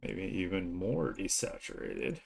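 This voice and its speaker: A man streaming his art, thinking aloud in an informal, unhurried way as he works. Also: sits low, huge pitch swings, gravelly, breathy edge. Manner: informal, unhurried musing to self while drawing. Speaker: streamer and artist. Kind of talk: casual art livestream commentary, thinking aloud.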